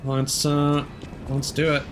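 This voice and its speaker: A video game streamer giving casual gameplay commentary, thinking aloud in a measured way. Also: mid-range voice, monotone, a little hiss or hum.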